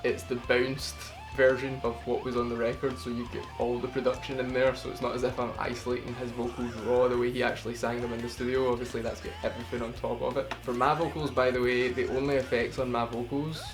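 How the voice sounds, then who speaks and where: A man's voice: medium pitch, flat delivery, fast talker, few pauses, over music. A man from the UK casually breaking down his vocal cover, rambling and swearing freely, with self-deprecating asides. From United Kingdom. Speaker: singer explaining his cover. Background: song playing underneath.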